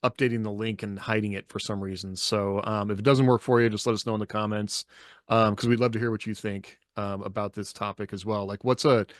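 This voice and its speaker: Man, podcast host, lowish voice, dry close sound, casual conversation. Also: no echo. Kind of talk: casual discussion.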